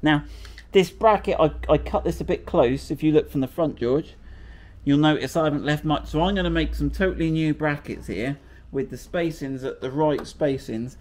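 A man's voice: on the high side, moderate pace. Chatty British man fixing a car. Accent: British English. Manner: chatty and self-mocking, thinking aloud. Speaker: workshop presenter. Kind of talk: casual how-to chat while working. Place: indoor workshop garage.

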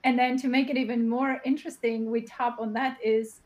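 High-voiced woman on a video call. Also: little pitch movement, fairly quick, darkish tone. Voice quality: high-pitched voice. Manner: earnest, thinks aloud, often adds "right?". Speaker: panelist, platform co-founder. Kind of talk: webinar panel answer. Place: home room. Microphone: webcam on video call.

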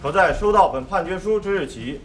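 An actor playing a stern male presiding judge in a film, speaking in a formal, authoritative and deliberate way. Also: sits high, expressive, a little hiss or hum. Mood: stern, solemn. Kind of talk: formal courtroom procedure in a film.

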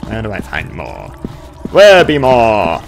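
A man's voice: lowish voice, huge pitch swings, slow pace, creaky. A man giving casual, rambling gameplay commentary, muttering asides to himself. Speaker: gamer hosting a playthrough. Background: game music.

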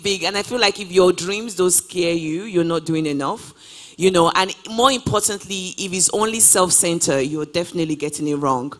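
A woman's voice: lowish voice, fairly bright tone. A woman in her twenties answering interview questions on a stage, upbeat and casual, full of "you know" fillers, speaking into a handheld mic in a large auditorium. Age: 20s. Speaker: guest traveler.

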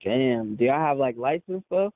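A man's voice: mid-range voice, animated, normal speed, slight background noise. An American man talking casually and in slang over a phone line, as a videographer discussing a job. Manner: slangy, informal. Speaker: music video videographer on a call. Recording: tinny narrowband phone line.

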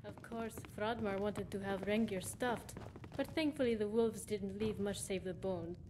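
An actress playing a superstitious rustic wife and mother in a video game, homely, gently scolding and eerily hospitable. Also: mid-range voice, fairly even intonation, a little slow, a little hiss or hum, natural voice. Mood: matter-of-fact. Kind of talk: video game character acting.

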